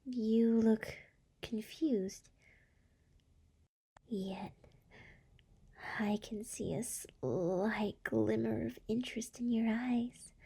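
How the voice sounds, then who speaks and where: A woman voicing a seductive, scheming succubus demon lord in an ASMR roleplay, breathy and soft, whispering with a teasing purr close to the microphone. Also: a little high, heavily acted.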